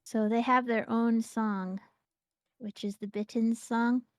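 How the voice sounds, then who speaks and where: Chatty woman with a higher voice. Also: fairly even intonation, takes it slowly, no room sound. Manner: chatty, playful, trails off and restarts. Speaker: co-host streaming a game. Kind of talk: casual gameplay chat.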